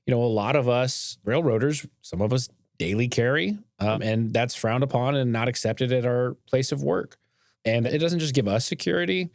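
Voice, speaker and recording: A man's voice: mid-range voice, gravelly, a little mellow, no echo, clean studio sound. A man hosting a podcast in casual, earnest, conversational talk, often checking in with the listener. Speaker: podcast host, railroad company boss.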